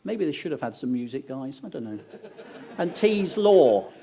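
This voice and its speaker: A man's voice: on the high side, very animated, normal speed, gravelly, warm tone. A man hosting an awards ceremony with an English accent, reading out sponsors with joking asides to the crowd. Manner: upbeat, joking asides to the audience. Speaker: awards host. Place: event venue on stage.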